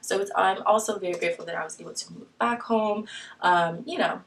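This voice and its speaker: A woman's voice: medium pitch, fairly quick, far from the mic. A young woman from Long Island, New York, with a light Long Island accent, chatting casually in her first get-to-know-me vlog, full of ums and restarts. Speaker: new YouTuber.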